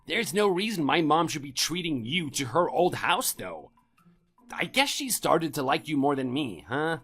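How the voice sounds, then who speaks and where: A man playing an arrogant, whiny husband in an audio drama, blustering with a husky voice. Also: a little high, sing-song, moderate pace, a bit bright, soft background music, exaggerated voice. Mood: bitter, belittling. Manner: indignant, blustering, pleading. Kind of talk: amateur audio drama acting.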